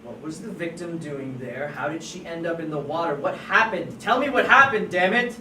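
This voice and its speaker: A performer at an amateur table read playing an agitated police officer who presses suspects with halting, repeated questions. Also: mid-range voice, expressive, sharp tone. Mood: agitated, demanding. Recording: distant, echoey room, noisy.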